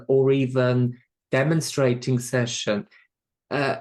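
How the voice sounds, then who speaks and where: A man with a Swiss accent, a medium being interviewed on a podcast, speaking reflectively and often restarting and repeating words. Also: medium pitch. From Switzerland.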